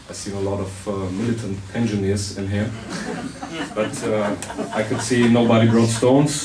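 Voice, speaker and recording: A man with a sore throat and a soft voice, giving a blunt, wry informal talk to an audience, restarting his sentences often. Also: fairly low pitch. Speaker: speaker at a public debate. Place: hall with an audience. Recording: roomy, distant hall recording with faint noise.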